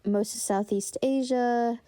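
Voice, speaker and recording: A woman's voice: on the high side, steady tone, takes it slowly, mellow, clean tone, no room sound, studio quality. A young woman reflecting on her family heritage in a wistful, unscripted way, full of fillers and restarts. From United States. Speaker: storyteller sharing her family history.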